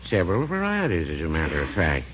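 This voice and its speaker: Low-voiced, dithering old-time druggist. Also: male voice, fast. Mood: mild, cautious. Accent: American. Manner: hesitant, deadpan, hedging. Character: mild, cautious, dry small-town druggist. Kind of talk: 1940s radio comedy acting. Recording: muffled, noisy 1940s radio broadcast.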